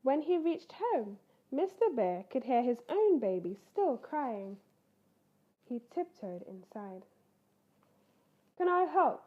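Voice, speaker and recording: A woman reading a children's bedtime story aloud in an expressive, animated way, acting out the characters. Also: on the high side, takes it slowly, phone-quality sound. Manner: animated, acts out character voices.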